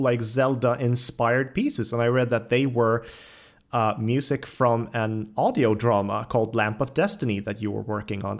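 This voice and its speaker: Male podcast host, mid-pitched, chatting casually and eagerly with a guest, gushing and enthusiastic. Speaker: podcast host interviewing a composer. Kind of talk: casual enthusiastic interview chat.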